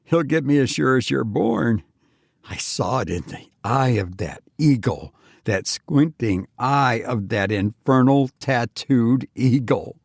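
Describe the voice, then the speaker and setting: A man's voice: mid-range voice, animated, slow, creaky, no room sound, studio quality, exaggerated voice. A man voicing a big, fear-haunted adventurer in an audiobook story, defiant and tormented, with agitated bravado. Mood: haunted dread. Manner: mocking bravado breaking into agitated stammering.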